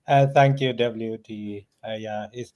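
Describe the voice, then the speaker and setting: A man from Ethiopia, a guest author and professor, answering interview questions with many pauses, fillers and restarts. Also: fairly low pitch, steady tone, darkish tone.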